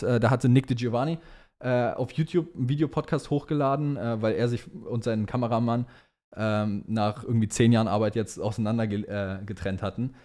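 A young man co-hosts a casual podcast. He talks in loose youth slang and states his opinions emphatically. His voice sits at an ordinary, middle pitch for a man. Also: darkish tone. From German, partly raised in Dubai. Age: young adult. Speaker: podcast co-host.

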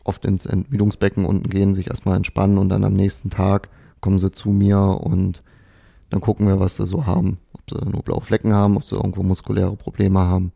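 A man from Saxony-Anhalt, a physiotherapist guest answering questions in a relaxed, hesitant way. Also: low voice, a little fast, gravelly, breathy edge. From Saxony-Anhalt, Germany. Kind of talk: casual interview answers.

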